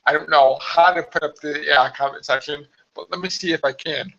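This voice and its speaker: A hoarse man chatting casually on a live stream from home, rambling with many fillers. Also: fairly high pitch, fairly even intonation, a little fast, a bit bright. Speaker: vlogger hosting a live stream. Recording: slightly dull lo-fi live stream audio.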